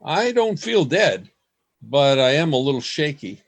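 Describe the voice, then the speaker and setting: A man's voice: a little high, big pitch swings, a little slow, full character voice. A man playing an older grandfather, shaky and earnest, in an amateur radio play. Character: shaky old grandfather, gentle and wondering.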